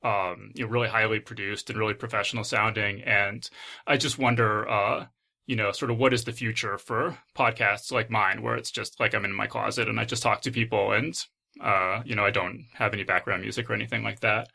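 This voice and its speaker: A man hosting a casual podcast interview, asking his questions in a hesitant, halting way. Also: fairly low pitch, steady tone, gravelly, sharp tone. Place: closet at home.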